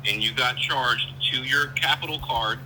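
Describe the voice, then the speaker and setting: Male officer taking a phone report, firm and methodical, piercingly bright mid-pitched voice, faint noise on the line. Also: normal speed. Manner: methodical, firm, clarifying point by point. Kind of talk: phone conversation, questioning a caller. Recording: noisy telephone call with hum.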